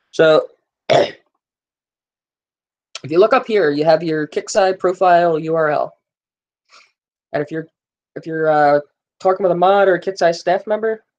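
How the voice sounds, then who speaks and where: A man's voice: on the high side, lo-fi sound. A man hosting a casual gaming live stream, rambling with plenty of fillers and joking with his viewers. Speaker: gaming livestream host.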